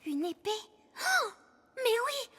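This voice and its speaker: A woman voicing a studious, sensible, slightly bossy young schoolgirl in an animated cartoon, with a know-it-all manner. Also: very high voice, slow pace, no room sound, full character voice. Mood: curious. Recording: music behind the voice.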